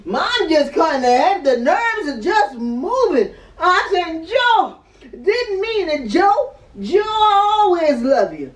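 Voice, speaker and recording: An older woman telling a story in an animated, dramatic way, repeating words and phrases as she goes. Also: a little high. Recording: roomy echo, distant mic, music behind.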